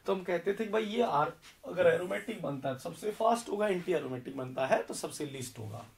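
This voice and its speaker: Young man, hoarse, chemistry teacher, asks and answers his own questions, heard from a distance. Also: high voice, expressive, fairly quick. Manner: explains step by step, asks questions and answers them himself. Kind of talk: whiteboard lecture. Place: indoor classroom in front of a green board.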